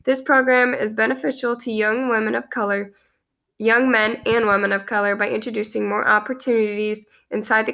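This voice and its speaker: A young woman with a cold reads her class post aloud, earnest and steady, tripping now and then over a word. Her voice sits fairly high and bright, with a gravelly fry under it and a stuffy nose. She is close to a webcam in a small room. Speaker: student presenting a class post. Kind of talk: read-aloud presentation. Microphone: webcam at close range.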